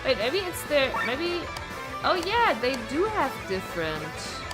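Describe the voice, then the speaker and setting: Female game streamer, highish and very expressive, rambling casual delivery. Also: slow pace, rough recording. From Germany. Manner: rambling, animated, swears casually. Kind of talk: casual live gameplay chat. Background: music.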